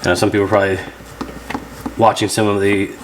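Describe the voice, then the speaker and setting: A man's voice: on the low side, flat delivery, fairly quick, slight background noise, rough recording. A man hosting a repair video, narrating casually and thinking aloud as he works in a loose, rambling way. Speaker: host of a radio repair channel.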